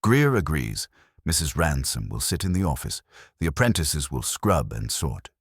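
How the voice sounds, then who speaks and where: Male narrator as practical, no-nonsense woman: low register, animated intonation, close dry sound. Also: normal speed, husky, no echo, full character voice. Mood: businesslike. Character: brisk, businesslike woman reporting practical arrangements.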